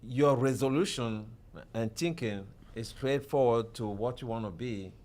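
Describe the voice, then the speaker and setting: A man speaking as a guest on a talk show, halting and hesitant, with many fillers and non-native grammar. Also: mid-range voice, darkish tone. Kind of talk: casual talk show chat.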